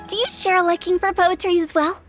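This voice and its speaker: A very high-voiced, cheeky young woman with a poet's flair in an anime-style game. She is confident, breezy and theatrical, always playful. Music plays softly behind her. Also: fairly even intonation, a little fast.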